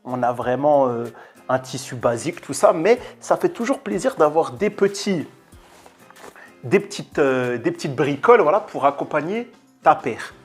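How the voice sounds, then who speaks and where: A man's voice: on the high side, lively intonation, moderate pace, faint music behind. A man hosting a casual unboxing vlog, talking in an informal, chatty, slangy way. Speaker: YouTube host.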